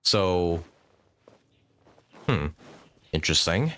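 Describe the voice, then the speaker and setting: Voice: low-pitched, very animated, extremely slow, pushed and bright. A game streamer giving casual, giggly live commentary while musing aloud.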